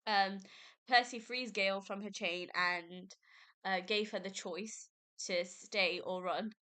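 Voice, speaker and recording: A woman's voice: mid-range voice, fairly even intonation, slow, bright, forceful tone, smooth. Congested young woman podcasting. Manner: chatty, rambling, with fillers and self-corrections. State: congested from a cold. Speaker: podcast host. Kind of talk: casual book discussion.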